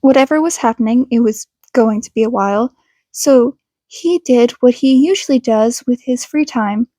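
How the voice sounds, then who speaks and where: A woman's voice: on the high side, normal speed, mellow, no room sound. A woman reading fan fiction aloud as scripted audiobook narration. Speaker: podfic reader.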